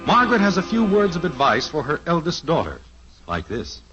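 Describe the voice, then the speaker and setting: Old-time radio announcer over music. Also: male voice, on the high side, wildly swooping pitch, fairly quick, muffled recording.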